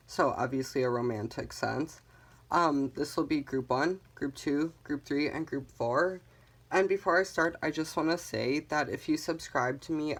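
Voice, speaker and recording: A tarot reader hosting a casual card reading, talking in a calm, reassuring way and guiding viewers, with frequent "um" fillers. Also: mid-range voice. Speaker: tarot reader, channel host.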